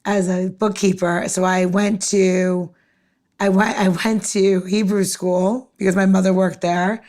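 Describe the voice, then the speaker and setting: A woman's voice: medium pitch, level tone, measured pace, a little mellow. A woman co-hosting a podcast with casual banter and a wry, joking way of talking. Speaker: podcast co-host.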